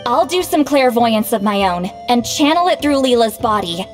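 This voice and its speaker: A woman playing a proud, youthful-sounding shaman in an anime game, lecturing in a brisk, exasperated manner. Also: a little high, expressive, lightly acted. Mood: confident, instructive. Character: proud, bossy, youthful-sounding female shaman called Granny. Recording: long reverb, music behind.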